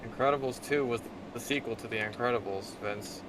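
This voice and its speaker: Man with medium pitch, dry casual banter. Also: fairly quick, background noise. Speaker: guest co-commentator.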